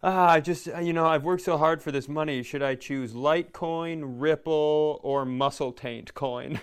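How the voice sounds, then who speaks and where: Clear-voiced man bantering on a comedy podcast. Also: on the high side, moderate pace. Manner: self-deprecating, rambling. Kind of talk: casual comedic banter and announcements.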